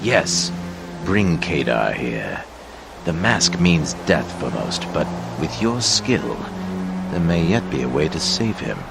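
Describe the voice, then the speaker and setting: An actor playing a grave seer and mentor in a game cutscene, speaking in a portentous, deliberate way. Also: male voice, rumbling low, very animated, vocal fry, loud music behind the voice, heavily acted. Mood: grave, urging. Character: grave male seer and mentor urging revenge.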